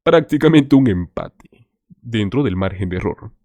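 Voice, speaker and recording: Emphatic man with a lively, dark voice. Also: on the low side, measured pace, no echo. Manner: emphatic, addresses viewers as "señores". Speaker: political commentary host. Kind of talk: scripted political commentary.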